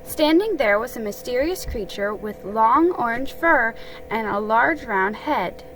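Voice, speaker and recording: A woman's voice: fairly high pitch, lively intonation, unhurried, fairly bright tone, faint music behind, low-quality recording. A woman narrating a children's story as a dramatic reading.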